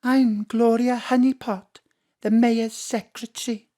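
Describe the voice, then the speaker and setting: An actress playing a sultry, glamorous female secretary with a husky voice, speaking slowly and languidly. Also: a little high, flat delivery, dark tone.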